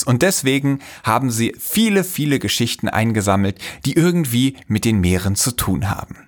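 A man hosting a podcast, introducing his guests and asking friendly, enthusiastic questions. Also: medium pitch. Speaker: podcast host. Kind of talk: podcast interview.

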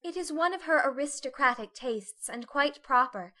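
A woman's voice: sits high, animated, moderate pace, pushed and bright, voice pushed up. A woman voicing a fussy, anxious teenage elder sister in an audiobook, with a prissy, ladylike, emphatic manner. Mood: prim, instructing. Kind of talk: audiobook character voice.

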